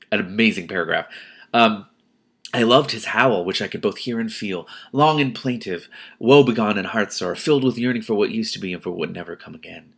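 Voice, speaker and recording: Effusive man reading aloud, low creaky voice. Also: fairly bright tone. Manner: effusive, emotionally invested. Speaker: host reading a novel aloud with commentary. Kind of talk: expressive read-aloud with spontaneous reactions.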